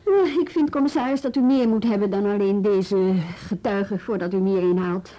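An actress with a Netherlands Dutch accent playing a sharp, sardonic, questioning police inspector in a naturalistic radio play. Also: female voice, mid-range voice, normal speed, lightly acted. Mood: sceptical, probing, then challenging, dry. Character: sharp, sceptical female police inspector, irritated by office politics. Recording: muffled radio drama recording.

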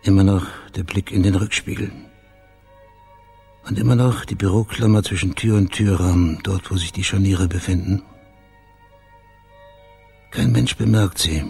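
Deep-voiced, dry ex-cop investigator. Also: male voice, normal speed, vocal fry, long pauses, a little mellow, slightly rough, faint music behind, full character voice. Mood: brooding, watchful. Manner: dry, laconic, understated. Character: wry, watchful male private investigator, former policeman. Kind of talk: radio crime drama acting with narrated inner monologue.

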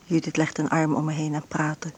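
Frail, breathy mother. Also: low-pitched, completely flat delivery, normal speed, a little mellow. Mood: fragile, pained. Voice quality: breathy, often dropping into whispers. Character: frail, ailing bedridden mother, weary and intense. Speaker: actress narrating in a radio play. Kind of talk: intimate first-person radio drama monologue.

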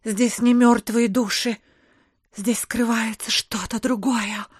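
A woman's voice: fairly high pitch, fairly even intonation, takes it slowly, breathy edge, no room sound, clean studio sound. An actress playing an opinionated, scornful provincial society lady, arch and insistent, in an audio drama of classic literature. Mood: puzzled, probing.

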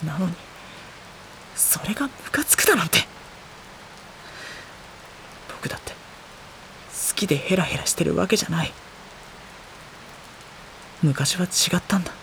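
A man playing a gentle, wounded high school boy in an audio drama, speaking in a soft, introspective, earnest way. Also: big pitch swings, normal speed, creaky, pauses often, a little hiss or hum, full character voice, rough recording. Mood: hurt, brooding. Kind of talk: Japanese audio drama acting with introspective monologue.

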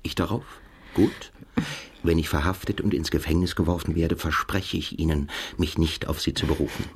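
Low-voiced, introspective male narrator. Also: steady tone, vocal fry, husky, full character voice. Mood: dry, composed. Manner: measured, literary, introspective. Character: reflective young male writer, melancholy narrator in love. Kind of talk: radio drama narration and dialogue.

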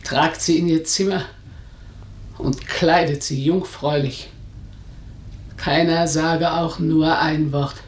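A woman's voice: low voice, fairly even intonation, slow pace, pauses often. An actress playing a tyrannical older mother, a proud village matriarch, in a German radio drama, with a severe, commanding, declamatory delivery. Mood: cold command. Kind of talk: German radio drama, theatrical tragic acting. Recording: roomy, echoing radio studio sound.

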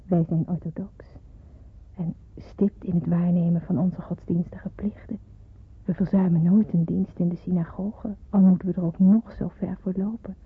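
A devout young daughter in a wartime radio play. Her voice is very husky and breathy, and she speaks with solemn, measured earnestness. The old recording sounds thin and phone-like. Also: lowish voice, fairly even intonation. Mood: reflective, earnest. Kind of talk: radio drama narration.

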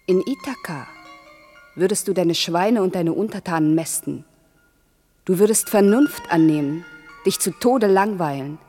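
An actress playing a seductive, urgent temptress of adventure and freedom in a theatrical, declamatory radio play style. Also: female voice, on the low side, a little slow, mellow, breathy edge, soft background music. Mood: scornful.

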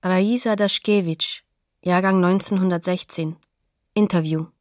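A woman's voice: lowish voice, fairly even intonation, unhurried, a little mellow, dry recording, clean studio sound. A woman announcer reading sober, neutral source credits for a radio documentary drama in a factual manner.

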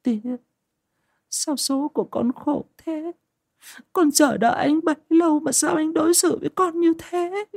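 A woman's voice: sits high, expressive, moderate pace, pauses often, darkish tone, breathy edge, full character voice. A woman playing a devoted young country woman in an audiobook, plaintive and pleading. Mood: heartbroken. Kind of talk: audiobook drama acting.

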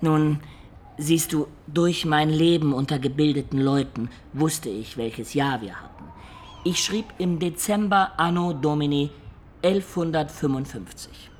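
A male actor plays an imaginative storyteller of peasant birth, recalling his life in a radio drama. His slightly high man's voice is reflective and expressive, with noticeable ambient noise behind it. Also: unhurried, lightly acted. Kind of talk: German radio play, reminiscing narration.

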